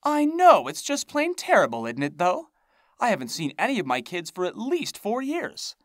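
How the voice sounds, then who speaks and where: Guy doing a creepy rotting hag voice, way higher and louder, folksy and whiny, keeps rambling. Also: huge pitch swings, moderate pace, no echo, clean studio sound, full character voice. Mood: indignant. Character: rotting ghoulish woman stalker, rambling and menacing.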